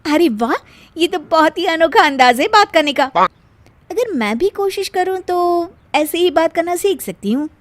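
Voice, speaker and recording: Woman playing a high, cheeky young daughter-in-law. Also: animated. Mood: pleased. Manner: sing-song rhyming replies with drawn-out endings.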